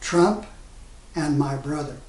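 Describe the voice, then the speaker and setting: A man with a fairly high, mellow voice recites a poem solemnly. He goes slowly and pauses after short phrases, heard a little away from the mic in an echoey room. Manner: measured, halting phrase by phrase. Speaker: poet reading own work. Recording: echoey room, distant from microphone.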